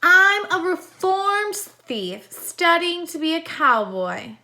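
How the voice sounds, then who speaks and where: An exuberant little would-be cowboy from a children's storybook, put on by a woman reader. He is loud and excitable, whooping in a high, bright voice. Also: slow, exaggerated voice. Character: excitable little man, reformed thief turned would-be cowboy.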